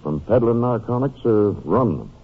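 An actor playing a sober, plain-spoken, folksy Texas sheriff in an old-time radio crime drama. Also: male voice, medium pitch, sing-song, moderate pace, husky. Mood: pondering. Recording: muffled, noisy vintage radio recording.

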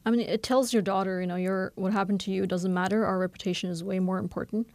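Novelist guest, woman in her thirties, quick, candid, lots of "you know", some vocal fry, dry studio sound. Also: medium pitch, no echo. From Bangladesh. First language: Norwegian. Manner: fast, candid, animated with many fillers. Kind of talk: conversational radio interview.

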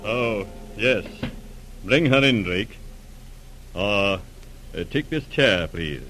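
An actor playing a stern senior police interrogator in a 1940s radio drama, formal, clipped and authoritative. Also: male voice, lively intonation, takes it slowly, pushed and bright, exaggerated voice. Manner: clipped, authoritative, low-keyed. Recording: noisy old radio recording.

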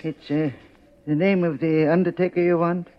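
An actor playing a cheerful, deferential old cemetery caretaker in a 1950s radio drama. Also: male voice, on the high side, level tone, moderate pace, soft background music, lightly acted. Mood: puzzled, helpful. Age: older. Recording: dull, noisy old radio recording.

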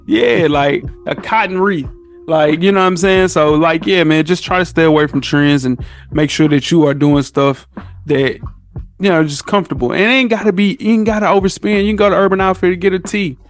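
A man's voice: sits high, fairly quick. An American man chatting casually as a podcast guest, in slangy talk full of "man", "bro" and "you know". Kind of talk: casual chat.

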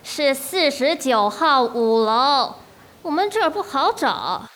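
A woman playing an old blind mother living alone, answering the phone hesitantly with halting fillers. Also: high-pitched, big pitch swings, fairly bright tone, exaggerated voice.

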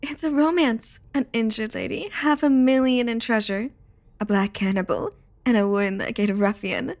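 A woman's voice: on the high side, moderate pace, darkish tone, close to an everyday voice. A woman playing a curious, romantic Victorian lady in an audio drama, talking in a dramatic, relishing way. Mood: thrilled.

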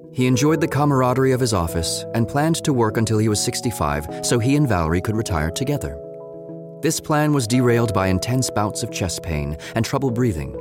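An actor narrating an audio drama as a measured, wry, all-knowing storyteller. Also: male voice, on the low side. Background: music.

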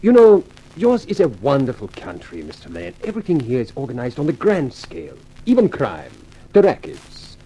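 A smooth continental racketeer from a 1950s radio mystery. His speech is formal and urbane, with swooping pitch over a soft, dusky, slightly rough tone. He stays coolly courteous while he schemes. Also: very animated, poor audio. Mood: smooth, insinuating. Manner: formal, measured, urbane phrasing. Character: suave scheming racketeer, European adagio dancer, coolly courteous. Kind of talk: 1950s radio drama acting.

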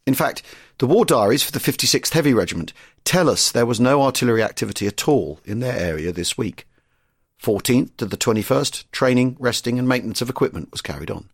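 Husky male documentary narrator. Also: medium pitch, lively intonation, no room sound, clean studio sound. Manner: measured, informative.

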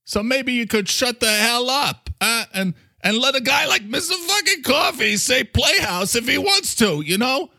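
A man's voice: very high-pitched, lively intonation, moderate pace, few pauses, bright, forceful tone, dry recording, studio quality, pitched above the performer's own voice, exaggerated voice. A man voicing a touchy, foul-mouthed talking coffee maker with a New York accent, blustery and defensive. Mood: irritated, badgering. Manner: blustery, defensive, swearing, badgering with questions.

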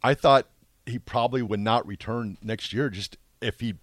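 A man's voice: medium pitch, moderate pace, dry recording, clean studio sound. A man co-hosting a sports talk show, discussing things in a casual conversational style. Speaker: sports radio co-host.

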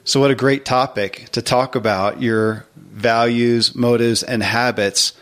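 A man's voice: medium pitch, fairly even intonation, a little slow, a bit bright. A man hosting a podcast, talking in an earnest, explanatory way. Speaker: podcast host. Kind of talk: podcast intro and interview talk.

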